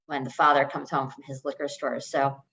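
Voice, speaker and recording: Low-pitched woman hosting a zoom book event, bit halting, muffled sound. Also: level tone. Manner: warm, slightly scattered, halting. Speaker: host. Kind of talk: moderating an online author event. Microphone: video call. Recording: dull lo-fi video call audio.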